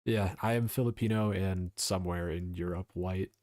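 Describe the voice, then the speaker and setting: A young man talks casually on a live stream, rambling through his points, answering chat and dropping the odd swear. His voice sits on the low side. The sound is dry and close, with no room around it. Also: fairly even intonation, normal speed, darkish tone, dry recording.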